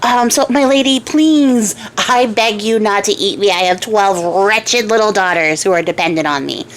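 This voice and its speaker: A woman's voice: mid-range voice, moderate pace, natural voice, poor audio. A woman voicing a frightened, dithering peasant father in a folktale, hesitant and rambling as he pleads. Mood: terrified pleading.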